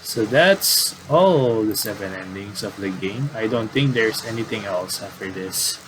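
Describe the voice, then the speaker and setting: A man doing casual game commentary and reading aloud, in a halting, rambling way with repeated words. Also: lowish voice, expressive, a bit bright, slight background noise, rough recording.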